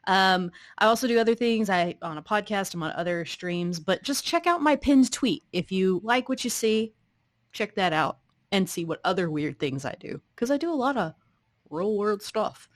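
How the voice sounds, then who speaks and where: A woman's voice: medium pitch, expressive, moderate pace, no room sound. A woman co-hosting a stream, chatting casually about what she does. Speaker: co-host and player. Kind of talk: casual chat, self-introduction.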